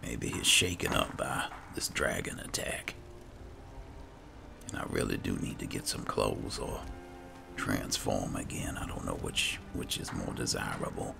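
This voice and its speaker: Very deep, gravelly man commentating a game. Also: expressive, measured pace. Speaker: gaming video host. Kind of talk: casual roleplay game commentary. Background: game music.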